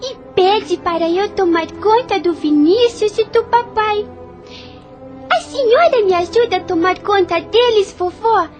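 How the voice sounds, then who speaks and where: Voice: very high-pitched, unhurried. A performer playing a sweet, earnest little girl in an audio novel. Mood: innocent, wistful. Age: child character. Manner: innocent, earnest. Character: sweet little girl missing her dead mother. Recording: music behind the voice.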